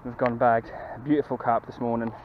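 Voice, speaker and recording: A man in his thirties excitedly narrating a fishing catch to viewers by a lake, recorded on an action camera. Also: medium pitch, a little fast. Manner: excited, chatty, talks to viewers. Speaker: angler vlogger. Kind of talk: casual fishing commentary. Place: windy lakeside outdoors. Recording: lo-fi camera audio with wind noise.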